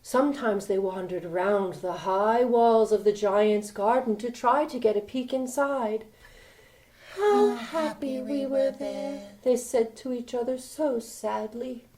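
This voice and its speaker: A woman narrating a children's story in an expressive, theatrical style, inviting listeners along. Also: on the high side, unhurried, few pauses.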